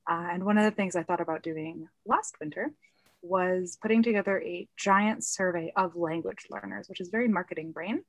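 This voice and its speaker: A woman's voice: fairly low pitch, normal speed, no echo. An American woman, a podcast guest, chatting over a video call in an upbeat way. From United States. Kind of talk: casual podcast chat. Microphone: recorded over video call.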